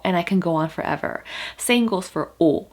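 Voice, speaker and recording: A young woman whose first language is Korean teaches pronunciation to the camera in a casual, chatty way. She explains patiently, pausing on fillers and restarting her sentences. Now and then she holds a vowel out long to demonstrate it. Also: on the low side, lively intonation. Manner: draws out vowel sounds as demonstrations.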